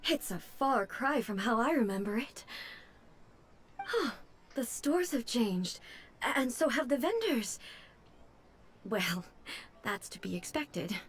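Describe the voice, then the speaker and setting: A woman voicing a regal, composed female ruler in a video game, speaking calmly, formally and with measured words. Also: a little high, slight background noise.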